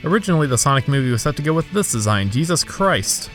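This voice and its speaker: A man's voice: on the high side, big pitch swings, fast talker, fairly bright tone. A man narrating a scripted comedic video review in a sarcastic, exasperated way. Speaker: video essay host. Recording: background music under voice. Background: music.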